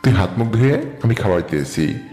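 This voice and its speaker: A man's voice: lowish voice, animated, fast talker, dark tone, close to an everyday voice. A man playing a protective, fatherly older uncle from a slum in an audio story drama. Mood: fatherly, reassuring. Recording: echoey reverb with music bed. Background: music.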